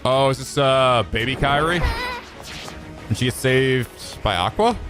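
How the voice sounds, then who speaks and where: A man's voice: mid-range voice, measured pace. A man hosting a let's play, giving casual reaction commentary in a sweary, incredulous, jokey way. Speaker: let's play video host. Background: game cutscene music.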